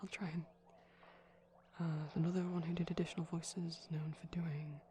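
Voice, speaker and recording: A man speaking softly in a chatty, rambling ASMR style, often dropping to a whisper, digressive and tentative. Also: on the high side, flat delivery, normal speed. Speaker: ASMR performer. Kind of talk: soft-spoken rambling ASMR commentary on a film. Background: TV film audio playing in background.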